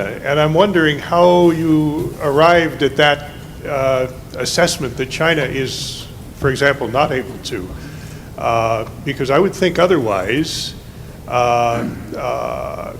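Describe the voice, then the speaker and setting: Male audience questioner, medium pitch, halting and polite, faint noise behind him. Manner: hesitant, full of "uh" fillers, polite. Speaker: audience member asking a question. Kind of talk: question from the floor at an academic panel. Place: conference hall.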